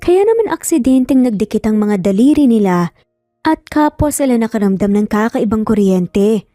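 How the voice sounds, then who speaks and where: A woman's voice: fairly high pitch, big pitch swings, moderate pace, dark tone, dry recording, clean studio sound, faint music behind. A Filipino woman narrating a scripted romance story.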